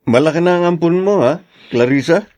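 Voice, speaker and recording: An actor playing a kindly older brother in a radio drama. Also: male voice, a little high, brisk, a little mellow, no echo, close to an everyday voice.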